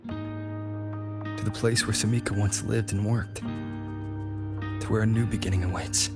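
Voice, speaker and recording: An actor playing an earnest, steady male adventurer in an anime-style game. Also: on the low side, a little slow. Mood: earnest, inviting. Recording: loud guitar music behind.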